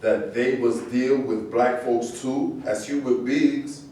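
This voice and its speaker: Male stage actor, unhurried rhymed delivery, soft dark tone, distant from the mic. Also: mid-range voice, steady tone. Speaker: actor in a staged play reading. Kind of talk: staged reading of rhyming dialogue. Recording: echoey room, distant mic, faint noise.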